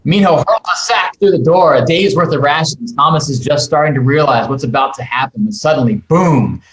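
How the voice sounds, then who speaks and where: A man's voice: a little high, a little fast. Male script narrator in echoey room. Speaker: narrator reading stage directions at a table read.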